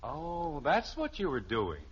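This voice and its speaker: A man's voice: on the high side, wildly swooping pitch, slow, exaggerated voice. A man playing an exasperated, self-important middle-aged American husband and father in a 1950s radio sitcom, blustering and sarcastic. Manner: blustering, pompous speechifying broken by sarcastic grumbling. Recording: dull, hissy old radio recording.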